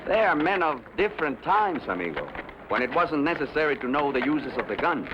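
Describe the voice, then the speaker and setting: A gentle, philosophical Western villager voiced by a man, earnest and measured in his formal phrasing. His slightly high voice moves widely in pitch, over a muffled recording. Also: sing-song. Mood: earnest, reflective. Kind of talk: dramatic dialogue in a Western. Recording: muffled lo-fi, loud background noise.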